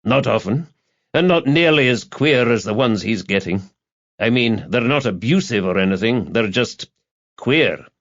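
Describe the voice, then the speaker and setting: A man voicing a guarded, reluctant confidant, measured and discreet in a matter-of-fact way. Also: medium pitch, animated, a bit bright, no room sound, lo-fi sound. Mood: confiding.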